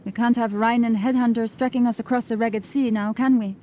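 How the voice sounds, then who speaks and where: A woman playing a cocky, smooth-talking female ship captain who is breezy and evasive with the law. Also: a little high, steady tone, fairly quick, slight background noise, natural voice. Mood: sly. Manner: breezy, evasive, gently mocking.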